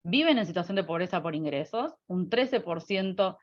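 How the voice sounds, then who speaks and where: An Argentine woman giving a webinar presentation in a halting way, often hesitating and repeating words. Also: lowish voice, expressive. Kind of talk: presentation with slides. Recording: slightly dull webinar audio.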